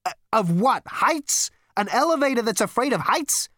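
A brash male space rogue from a comic storybook, as a man reads him aloud. He is impatient and sarcastic, exclaiming in a raised, swinging pitch, recorded close and dry. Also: expressive, a little slow, a bit bright, dry recording. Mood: exasperated, incredulous.

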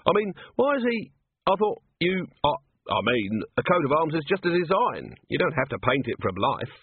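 A man voicing a weary, blunt middle-aged watchman who talks in a dry, low-key way. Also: sing-song, moderate pace, husky, no echo. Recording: tinny narrow-band, dry.

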